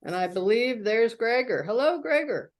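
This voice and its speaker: A woman's voice: a little high, expressive, normal speed. A woman chatting at a club meeting on a video call. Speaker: club member. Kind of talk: casual meeting chat.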